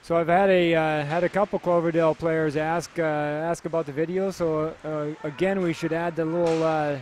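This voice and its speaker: A man's voice: fairly high pitch, moderate pace, a little hiss or hum. Chatty Canadian man calling a hockey game. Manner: relaxed, chatty, many filler words. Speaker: play-by-play commentator. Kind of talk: amateur hockey commentary.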